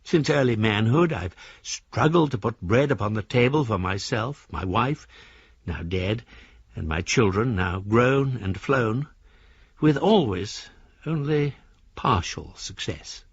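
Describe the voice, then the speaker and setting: A man's voice: fairly low pitch, lively intonation, slow, breathy edge, no echo, lo-fi sound, exaggerated voice. An actor playing a melancholy middle-aged widower in debt, reading his own letter in a literary, self-dramatising way for radio drama. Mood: sorrowful, resigned. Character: melancholy, wordy widowed debtor writing to creditors.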